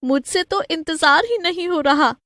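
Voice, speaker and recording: A woman voicing an excitable, anxious young wife in a cartoon, emotional and full of exclamation. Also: very high voice, a little slow, dry recording, clean studio sound, voice pushed up, heavily acted. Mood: excited.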